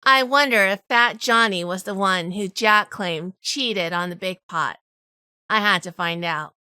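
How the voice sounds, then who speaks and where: Woman acting a bright-voiced, shrewd noir heroine. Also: mid-range voice, unhurried, clear, resonant tone, no room sound. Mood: reflective, pained. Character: shrewd, probing female noir heroine, inwardly suspicious. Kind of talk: noir audio drama narration and dialogue.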